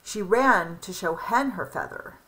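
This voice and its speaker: A woman reading a children's picture book aloud in an expressive, emphatic and deliberate way. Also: medium pitch, poor audio. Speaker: storybook reader.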